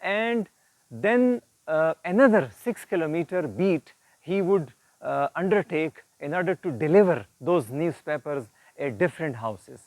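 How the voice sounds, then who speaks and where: A male storyteller with a somewhat high voice shares an uplifting tale in an informal, earnest style. He explains as he goes, pausing often and repeating words as he finds the next phrase. The sound is very dry, with almost no room reflection. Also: lively intonation, no room sound, rough recording. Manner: earnest, didactic, halting with frequent pauses and repeats. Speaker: storyteller and presenter. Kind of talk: informal retelling of an inspirational moral story.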